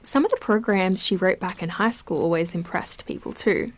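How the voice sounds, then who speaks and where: A young woman voiced by an actress with a medium-pitched voice in an audio drama. She comes across as guarded and matter-of-fact, keeping her delivery casual and conversational. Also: expressive, moderate pace, no echo, lightly acted. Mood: reminiscing.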